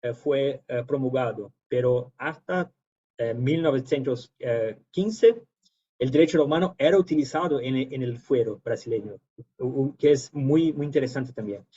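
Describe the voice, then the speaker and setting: A man's voice: mid-range voice, moderate pace, warm tone, slightly rough, dull audio. A man from Brazil with a Brazilian Portuguese accent, whose first language is Portuguese, giving an academic talk as a guest lecturer, hesitant, with many fillers and restarts. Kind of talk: academic presentation.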